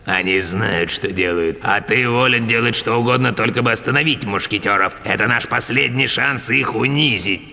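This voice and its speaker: Low-pitched scheming cartoon cardinal played by a man, haughty, commanding, gloating, long reverb, music behind. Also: noisy background, natural voice. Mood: cold, commanding. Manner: haughty, measured. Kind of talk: dubbed cartoon acting.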